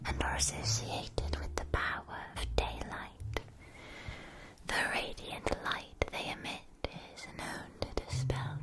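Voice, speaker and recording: Slow, whispering woman in ASMR roleplay. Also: mid-range voice. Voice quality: soft breathy whisper. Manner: slow, deliberate, carefully enunciated. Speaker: ASMR roleplayer as a witch school supply shopkeeper.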